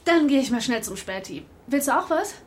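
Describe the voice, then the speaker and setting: An actress playing a young homeless drifter in a naturalistic radio drama, candid and slangy, confiding and trusting. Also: female voice, sits high, lively intonation, brisk. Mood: casual.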